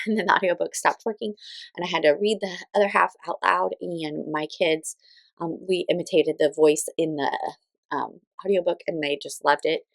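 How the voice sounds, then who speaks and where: A woman's voice: lowish voice, gravelly, no echo, rough recording. A woman in her thirties giving casual book recommendations as a parent, informally and a little hesitantly, thinking aloud as she explains. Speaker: parent reviewing children's read-aloud books.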